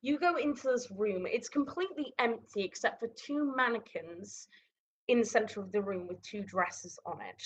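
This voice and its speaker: A woman running a tabletop game as game master, narrating casually in halting, improvised, informal descriptions. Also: a little high, animated. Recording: dull lo-fi audio.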